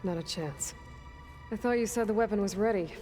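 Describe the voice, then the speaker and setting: An actress playing a guarded, headstrong young heroine in a video game, talking in a blunt, wry, probing way. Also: female voice, medium pitch, a little fast, mellow, lightly acted. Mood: wary, sarcastic. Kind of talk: video game dialogue acting. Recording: faint music behind the voice.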